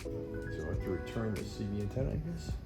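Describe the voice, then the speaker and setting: A man's voice: lowish voice, very animated, brisk, vocal fry, loud music behind the voice. A man talking informally as the host of a DIY vlog, thinking aloud as he explains his work. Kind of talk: casual talk while working, explaining to viewers.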